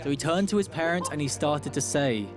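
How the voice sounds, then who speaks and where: Male narrator, slightly high voice, theatrical rhyming storybook read, music bed behind. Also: a little fast.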